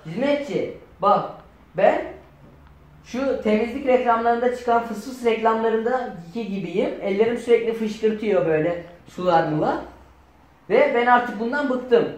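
A young man improvises in a comedy sketch. His voice sits high for a man and he tends to complain. The room around him is echoey. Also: lively intonation, a little slow. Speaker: performer in a children's comedy sketch. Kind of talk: improvised comic banter. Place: home dining room, indoors. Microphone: camera a few metres away.

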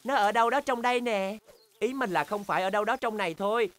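A woman's voice: mid-range voice, fast talker, natural voice. A woman voicing an eager, stubborn young boy bear in a children's cartoon dub, exclamatory and determined. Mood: flustered searching.

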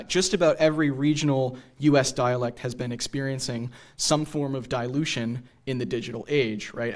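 A young American man delivers a public lecture from a theater stage. His voice is mid-pitched, and he mixes prepared points with casual asides into a podium microphone. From New England, USA. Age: young adult.

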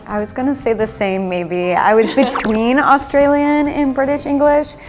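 A young American woman in a casual panel chat, curious and quick to laugh. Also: sits high. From United States. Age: young adult. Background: background music.

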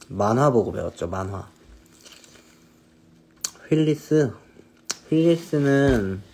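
A man in his thirties with a low voice that has a gravelly edge, chatting casually with viewers over a phone live stream. His tone is dark and mellow, and the talk is easygoing. A faint background noise sits under the voice. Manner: relaxed, answers viewers' questions, drifts between snacks and hobbies. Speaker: singer hosting a live stream. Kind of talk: casual live-stream chat reading comments.

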